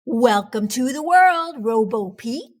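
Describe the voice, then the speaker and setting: A woman's voice: a little high, expressive, takes it slowly, darkish tone. A woman voicing an eager, friendly young male cat in a storybook, enthusiastic and emphatic.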